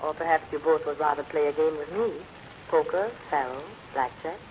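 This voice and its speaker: An actress playing a coy, flirtatious saloon singer and hostess in 1940s radio comedy style. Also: female voice, low voice, moderate pace, darkish tone, a little hiss or hum, close to an everyday voice, poor audio. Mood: coy, seductive. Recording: old radio recording under rain.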